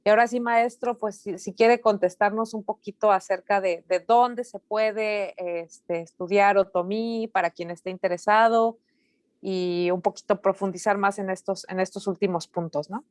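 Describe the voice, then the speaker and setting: A woman's voice: medium pitch, steady tone, moderate pace, no echo, studio quality. A woman moderating a live online talk, reading out comments and chatting, with many fillers and tag questions. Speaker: online event host.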